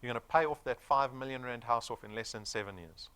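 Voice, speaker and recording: A man's voice: mid-range voice, fast talker, a bit bright, smooth. A man of forty with a South African accent, giving a motivational business presentation in an emphatic, persuasive manner with rhetorical questions. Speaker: sales presenter.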